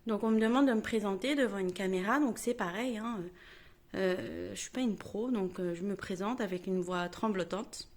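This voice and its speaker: A woman vlogger chatting at home to her camera, telling a story in a self-mocking, lively way. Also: mid-range voice, moderate pace.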